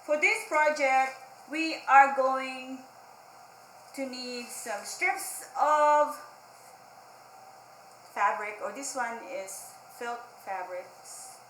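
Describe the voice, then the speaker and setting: A woman's voice: high voice, extremely slow, long pauses, fairly bright tone. A woman hosting a children's library storytime, explaining a craft in simple, repeated phrases and speaking directly to young children. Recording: roomy echo, faint background noise.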